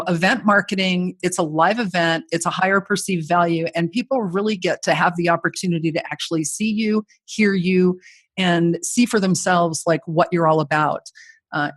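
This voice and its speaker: Forties woman, podcast guest; warm-toned radio voice, conversational and self-deprecating, dry booth sound. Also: fairly low pitch, fairly quick, dry recording. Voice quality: voice people call made for radio. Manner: chatty, self-deprecating, frequent "you know". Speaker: guest, course creator. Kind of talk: casual podcast interview.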